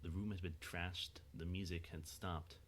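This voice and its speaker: A man's voice: low-pitched, level tone, a little slow, a little hiss or hum. A man reading scripted narration for an audio drama as its narrator.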